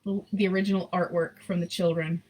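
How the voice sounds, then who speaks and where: A woman reading a children's picture book aloud in an expressive, unhurried, sentimental way, with casual asides. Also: on the low side, rough recording. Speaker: storybook reader.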